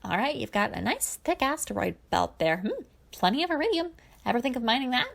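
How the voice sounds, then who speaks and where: A woman performs a chatty older alien man from an animated space adventure. He is chirpy and exclamatory, rambling along with cheerful, nostalgic enthusiasm. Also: on the high side, brisk, creaky, voice pushed up, heavily acted. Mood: cheerful, curious.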